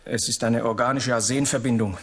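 An actor playing a fussy, defensive horticulture teacher in a radio drama. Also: male voice, mid-range voice, fairly even intonation, fairly quick, fairly bright tone, breathy edge. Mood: precise, explaining. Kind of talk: German radio drama acting.

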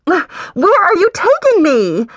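Woman doin a bossy highborn girl warrior, high huffy husky voice, shrieky. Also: wildly swooping pitch, measured pace, dry recording, exaggerated voice. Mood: shrieking. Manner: haughty, indignant, shrill when shouting. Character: proud defiant young noblewoman warrior bride.

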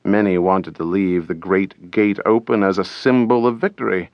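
A man reading an audiobook as dramatic scripted narration, deliberate and theatrical, close to the microphone. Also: low voice, fairly even intonation, no room sound, muffled recording.

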